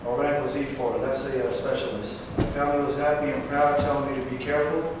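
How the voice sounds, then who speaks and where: Man in his twenties, veteran speaking to an audience, flat delivery, halting with fillers, distant and very echoey hall recording. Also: medium pitch, noisy background. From Northern California. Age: 20s. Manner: halting, with fillers and self-corrections. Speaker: veteran giving a talk. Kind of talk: personal speech read to an audience.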